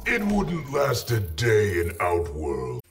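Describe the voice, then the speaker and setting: An actor playing a brutal, bullying warlord emperor in a video game, with a gravelly voice and slow, threatening delivery. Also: male voice, on the low side, huge pitch swings, echoey room, background noise, exaggerated voice. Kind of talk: video game villain acting.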